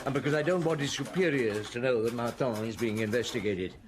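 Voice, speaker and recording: Guy playing gruff detective boss in a radio play, mid voice, mumbly hms then short orders. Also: mid-range voice, fairly quick, crowd noise, lightly acted. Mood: brisk, musing. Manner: hesitant murmurs, terse orders, mutters. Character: gruff, wry middle-aged male police chief inspector. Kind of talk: audio drama acting.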